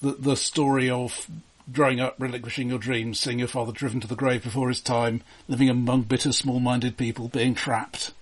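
A man with a husky voice co-hosting a film podcast, talking off the cuff in a hesitant, stammering, wryly critical way. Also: medium pitch, level tone, normal speed, few pauses. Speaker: podcast host. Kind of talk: unscripted film discussion.

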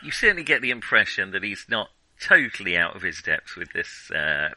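A man's voice: sits low, sing-song, a little fast, pushed and bright, dry recording, poor audio. A man co-hosting a casual film podcast, chatty and hesitant, with many ums and easy laughs. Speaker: podcast co-host.

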